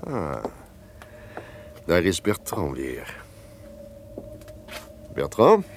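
An actor playing a guilt-ridden, suspicious castle lord, theatrical and declamatory, in an old-fashioned radio drama. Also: male voice, low voice, wildly swooping pitch, extremely slow, exaggerated voice. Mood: brooding, scheming. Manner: theatrical, declamatory, swinging from barking orders to brooding. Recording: noisy old radio play recording.

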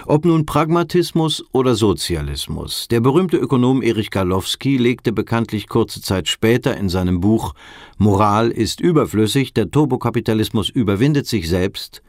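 An actor playing a sober documentary narrator in a radio drama, reading scripted reports in a measured, factual manner. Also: male voice, lowish voice, animated, no echo, studio quality, close to an everyday voice.

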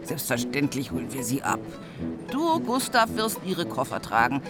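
Bossy city lady, animated voice. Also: extremely low pitch, normal speed. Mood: bossy, alarmed. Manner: scolding, indignant, clipped. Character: bossy, suspicious, easily outraged bourgeois city lady. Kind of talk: radio play acting. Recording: music behind. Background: music.

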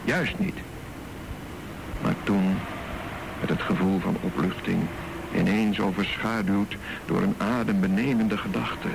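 A man narrating a radio drama in slow, deliberate storytelling with pauses. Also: sits low, steady tone, vocal fry, noisy background.

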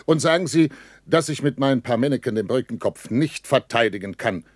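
A man's voice: mid-range voice, lively intonation, a little fast, no echo, studio quality, close to an everyday voice. A man playing a weary, sardonic army captain in a radio play, giving curt and urgent orders. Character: weary, sarcastic army captain commanding a bridgehead. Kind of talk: German radio play acting.

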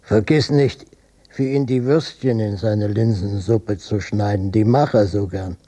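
An actor playing a gruff, stubborn old father and war veteran in a German radio drama, with a husky, gravelly voice and a curt, defensive manner. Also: lowish voice, fairly even intonation, measured pace, warm tone. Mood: stubborn, irritated.

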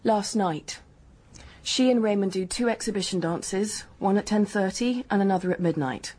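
An actress playing a young, guarded, matter-of-fact English dance hostess in a radio drama, speaking in a brisk, frank, colloquial way. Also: female voice, medium pitch, darkish tone, slightly rough, lightly acted. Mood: defensive, frank. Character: hard-headed working-class hotel dance hostess, guarded, matter-of-fact.

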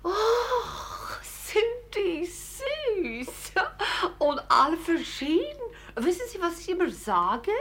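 A woman's voice: extremely high pitch, sing-song, extremely slow, fairly bright tone, full character voice. A woman playing a chatty, naively tactless, wealthy American society wife in a 1950s German radio play, effusive and exclamatory. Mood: delighted.

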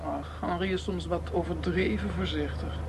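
An actress playing a cold, commanding criminal ringleader in a radio play, silky and mocking, on a noisy old recording. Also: female voice, on the low side, normal speed, gravelly. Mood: silky, flirtatious. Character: cold, menacing female criminal ringleader, seductive and cruel.

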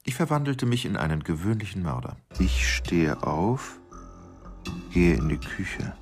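A brooding male killer in a radio drama monologue. The voice is very deep and slow, with a heavy creak. He is intense and halting, often sinking toward a whisper over faint music. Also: very animated, heavily acted. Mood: eerie, hushed. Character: obsessive, brooding male killer confessing his crimes.

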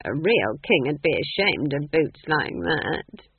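Man voicing a slow, placid old country witch. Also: high voice, big pitch swings, vocal fry, breathy edge, no echo, voice pushed up, heavily acted. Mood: critical. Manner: slow, deliberate, drawn-out. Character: placid, critical, down-to-earth old witch nanny. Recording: thin phone-like lo-fi audio.

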